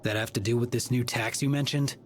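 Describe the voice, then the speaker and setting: Man acting a direct, mid-pitched male adventurer. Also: fairly even intonation, brisk, soft background music, lightly acted. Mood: questioning. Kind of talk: visual novel game voice acting.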